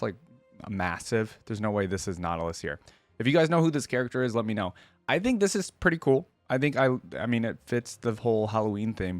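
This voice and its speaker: A man with a mid-pitched voice hosts a casual reaction video. He talks informally to his viewers, rambling and qualifying his opinions as he goes. The sound is close and dry, with music playing underneath. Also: no echo. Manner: informal, conversational, addressing viewers directly.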